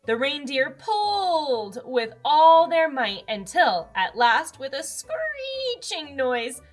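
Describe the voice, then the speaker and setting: A woman reading a children's storybook aloud as narrator, expressive and with dramatic emphasis. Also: high voice, takes it slowly. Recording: music under the voice.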